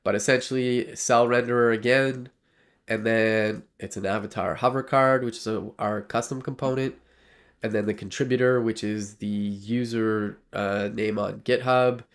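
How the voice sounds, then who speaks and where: A man with a low, gravelly voice that sounds nasal from a cold talks through his code on a live stream. His speech rambles and is full of fillers and restarts, picked up close on a desk mic. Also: steady tone, moderate pace. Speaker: livestreaming developer. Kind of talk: casual live coding talk.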